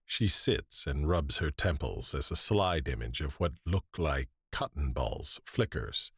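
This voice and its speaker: A man narrating an audio drama in measured, descriptive scripted prose. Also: rumbling low, expressive, creaky, a bit bright, husky, no room sound, clean studio sound, full character voice. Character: male narrator setting scenes, measured and descriptive. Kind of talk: scripted present-tense scene narration.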